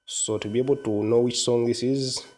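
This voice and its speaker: A man narrating a software tutorial in an informal, step-by-step explanatory way. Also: medium pitch, fairly even intonation, moderate pace. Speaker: tutorial presenter. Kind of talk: casual software walkthrough narration.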